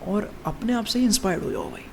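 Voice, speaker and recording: A young man in his early twenties talks loosely to the camera with a rough, gravelly edge on his voice, sitting a touch higher than most men. He rambles, starts sentences over and keeps calling the listener "bro" as he vents about fitness. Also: animated, fast talker, slight background noise. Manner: rambling, addresses viewer as "bhai" constantly, many restarts. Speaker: fitness vlogger. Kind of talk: casual to-camera monologue.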